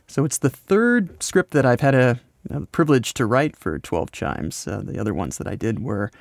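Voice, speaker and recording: An American man being interviewed, explaining his creative work in a casual, rambling, halting conversational way. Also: mid-range voice, animated, creaky, no room sound, studio quality. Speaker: podcast host, voice actor and scriptwriter being interviewed.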